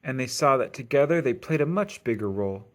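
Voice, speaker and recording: Male storybook reader, mid-range voice, expressive sing-song delivery for young listeners. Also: medium pitch, brisk, slight background noise. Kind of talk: expressive children's read-aloud narration.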